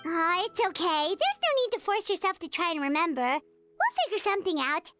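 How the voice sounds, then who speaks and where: Super high squeaky little girl sidekick, chatty and curious, bouncy voice, faint game music. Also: sing-song, normal speed. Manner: chatty, refers to herself in third person. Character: tiny floating girl companion, chirpy and curious. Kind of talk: video game voice acting.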